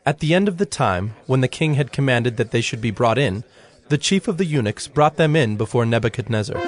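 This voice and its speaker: A man narrating a scripted, dramatized scripture reading in a measured, solemn manner. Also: mid-range voice, expressive. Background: instrumental music.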